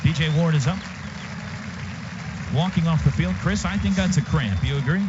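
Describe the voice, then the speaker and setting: An American man calls football play by play in a raised, excitable voice with dramatic phrasing. The sound is a dull radio broadcast with loud stadium noise behind him. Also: on the high side, normal speed. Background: loud outdoor stadium noise.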